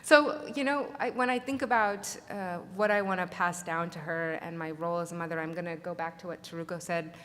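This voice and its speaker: Low-voiced woman speaking in a hall. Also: steady tone, a little fast, few pauses, a bit bright. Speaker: artist presenting at a panel. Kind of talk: conference talk. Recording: reverberant hall with faint noise.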